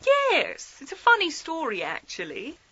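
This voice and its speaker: An actress playing a sharp lady detective in a radio mystery, politely needling with chatty, digressive, mock-innocent hesitations. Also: sits high, wildly swooping pitch, measured pace, muffled recording, exaggerated voice. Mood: probing, coy. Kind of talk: scripted radio mystery acting.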